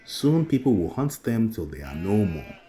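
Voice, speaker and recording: A man voicing a curious, cautious male shapeshifting raven creator in a storybook tale, speaking deliberately. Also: fairly low pitch, very animated, dark tone. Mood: thoughtful. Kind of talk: storybook character voice. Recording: music under the voice.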